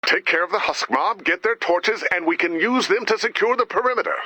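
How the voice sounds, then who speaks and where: A man voicing an anxious, cautious pessimist in a video game, with a raspy voice and a dry, worried manner. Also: high voice, a little fast, pushed and bright, no room sound, heavily acted, low-quality recording. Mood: concerned.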